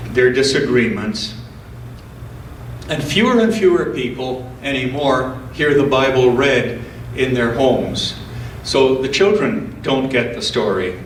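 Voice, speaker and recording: A man speaking as a scripture reader at a church service, with a measured, deliberate delivery. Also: mid-range voice. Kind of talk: church scripture reading with informal introduction. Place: indoors in a church. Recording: roomy church sound, distant mic, faint noise.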